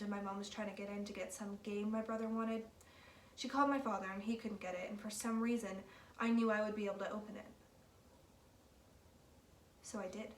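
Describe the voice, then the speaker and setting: A woman acting an uneasy young woman recalling her childhood in a naturalistic stage monologue, with hushed, conversational storytelling. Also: mid-range voice, close to an everyday voice. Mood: matter-of-fact unease. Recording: roomy, distant mic, faint background noise.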